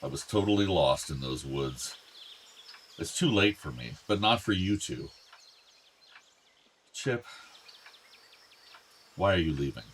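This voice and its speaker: A man's voice: sits low, lively intonation, takes it slowly, pauses often, slight background noise. A man acting a meek, regretful older father in a naturalistic radio play, apologetic and hesitant. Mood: wistful, concerned. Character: regretful, meek father of two grown sons.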